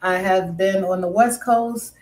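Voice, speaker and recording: A woman's voice: medium pitch, fairly even intonation, unhurried, a little mellow. A woman from Brooklyn with a mixed New York and West Indian accent, casually chatting with viewers on her live stream and calling them pet names.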